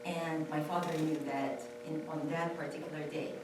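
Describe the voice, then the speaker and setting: A woman's voice: sits low, steady tone, moderate pace, background noise. A woman raised in Saudi Arabia, speaking as a panelist at a temple and telling her story in earnest, repeating phrases for stress. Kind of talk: personal testimony at a panel. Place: temple hall. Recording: very echoey hall from far off.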